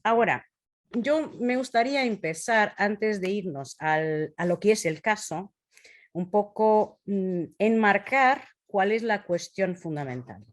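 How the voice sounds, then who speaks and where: A woman in her fifties with a Portuguese accent, speaking a second language haltingly as she leads an informal presentation, searching for words. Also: medium pitch, animated. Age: 50s. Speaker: presenter leading a talk on impact measurement.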